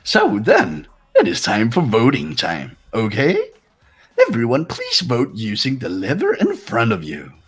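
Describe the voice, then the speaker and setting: Swooping, gleefully sadistic mascot villain. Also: male voice, mid-range voice, wildly swooping pitch, a little slow, slightly rough, heavily acted. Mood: mocking. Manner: theatrical, taunting, sing-song announcements. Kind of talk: hobby voiced game playthrough.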